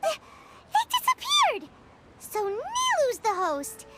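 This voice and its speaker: A woman voicing a tiny, excitable, childlike fairy companion in an anime-style game, with chirpy delivery over music. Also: very high voice, exaggerated voice. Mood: surprised. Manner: chirpy, speaks of herself in third person. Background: playful children's-style music.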